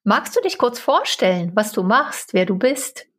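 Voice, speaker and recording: A woman with a husky, slightly rough voice at an average pitch co-hosts an interview. She talks in a loose, chatty way and chuckles easily. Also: very animated, moderate pace. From eastern Germany (Upper Lusatia). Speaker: podcast co-host. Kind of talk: casual interview chat.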